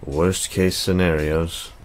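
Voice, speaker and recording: Casting: male actor as grim, absent-minded necromancer, very low voice, curt delivery, visual novel game. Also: level tone, slow pace, a little hiss or hum. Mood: distracted, grave. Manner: curt, preoccupied.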